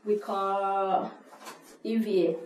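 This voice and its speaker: A woman's voice: mid-range voice, little pitch movement, slow pace, a little mellow, reverberant, far from the mic, a little hiss or hum, low-quality recording. A woman from Burma, telling her life story in hesitant at church. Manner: plain, hesitant, many uh fillers. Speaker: refugee giving testimony. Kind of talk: church testimony, non-native English.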